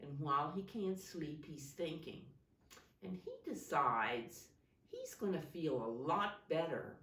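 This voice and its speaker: A woman reading a storybook aloud to young children as a teacher, pausing to explain it simply and ask questions in a deliberate, instructive way. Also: low voice, animated, fairly bright tone. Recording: roomy echo, distant microphone.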